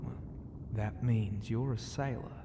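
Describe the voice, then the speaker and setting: A man voicing a huge, proud and flirty ocean god who murmurs in an unhurried, teasing way for an ASMR roleplay. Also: fairly low pitch, fairly even intonation, noisy background. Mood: curious, teasing.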